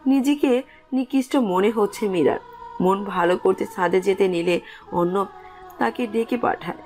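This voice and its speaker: A woman's voice: medium pitch, measured pace, a little mellow. A woman reading scripted narration for a romantic story as its narrator. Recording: music under the voice.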